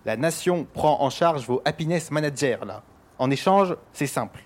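Man playing blustering minister, fairly high voice, pompous, slangy, commanding, satirical radio-play boss. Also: expressive, normal speed. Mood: smooth-talking, pompous. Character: bossy blustering government minister, slangy mock-gangster boss. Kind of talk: French satirical audio fiction acting.

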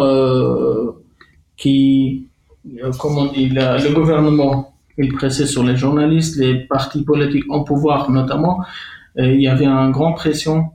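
A middle-aged man speaks with a Kurdish accent and a gravelly, dark voice. He pauses often and restarts his sentences. His voice carries the echo of the room. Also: medium pitch. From Iraqi Kurdistan. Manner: halting, many hesitations and restarts. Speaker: interviewee, former journalist. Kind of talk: testimony interview.

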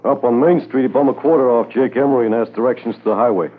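An actor playing a casual, folksy railway worker with clipped colloquial phrasing in a 1950s radio drama. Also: male voice, medium pitch, quick pace, natural voice. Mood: casual, watchful. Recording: tinny 1950s radio recording.

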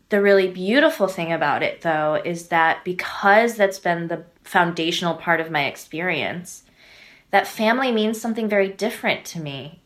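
A woman's voice: on the low side, a bit bright. A woman from the American Midwest, speaking as a podcast guest in a thoughtful, earnest way, often saying "like" and "right?". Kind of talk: reflective interview talk.

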